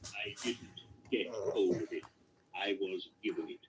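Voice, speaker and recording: A man's voice: deep voice, fairly even intonation, measured pace, very noisy. An actor playing an authoritative old wizard in a radio play, testy and emphatic in his phrasing. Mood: measured, testy.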